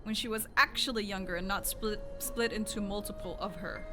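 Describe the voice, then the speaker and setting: A woman's voice: medium pitch, fairly even intonation, brisk. A woman streamer giving casual, slangy reaction commentary to a game. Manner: informal, slangy. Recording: game music playing behind voice. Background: game cutscene music.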